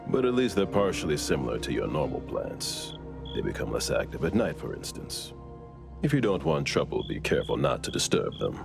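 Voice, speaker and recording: An actor playing a stern, cautious investigator in a game cutscene, with a measured, matter-of-fact delivery. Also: male voice, a bass voice, wildly swooping pitch, gravelly, heavily acted. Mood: cautious, dry. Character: stern, cautious, very deep-voiced male veteran investigator. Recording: music behind the voice.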